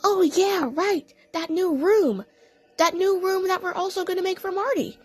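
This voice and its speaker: A woman's voice: extremely high pitch, moderate pace, faint music behind, voice pushed up. A woman voicing a chatty cartoon DJ cat in an audio play, casual and coaxing.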